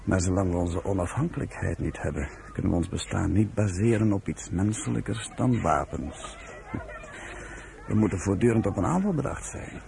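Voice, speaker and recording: An actor playing a genial, idealistic middle-aged professor in a Dutch radio play. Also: male voice, sits low, normal speed, vocal fry. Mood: grave. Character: genial professor leading a kibbutz children's village, earnest idealist. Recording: noisy radio play with crowd ambience.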